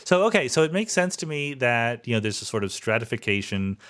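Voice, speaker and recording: A man's voice: medium pitch, sing-song, a little fast, a bit bright. A man in his fifties from the US East Coast with an American accent, hosting a conversational interview and thinking aloud as he frames questions. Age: 50s. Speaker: podcast host.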